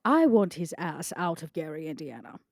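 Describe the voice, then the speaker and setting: A woman playing a haughty, scheming female vampire in a tabletop game, with a dry, sardonic, deliberate delivery. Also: low-pitched, sing-song, darkish tone, no room sound, heavily acted. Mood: scornful.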